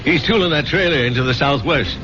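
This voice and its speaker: An actor playing a plain-spoken, folksy, concerned friend in a 1970s radio drama. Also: male voice, on the high side, a little fast, a crowd in the background, lightly acted. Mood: wry. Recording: dull lo-fi radio recording with music.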